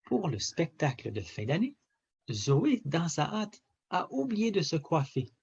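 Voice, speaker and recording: Man, children's storybook narrator, slow pace, lively swooping intonation, emphatic, dull lo-fi recording. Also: fairly high pitch, vocal fry, breathy edge. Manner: animated, theatrical delivery with emphatic phrasing. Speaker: storybook reader.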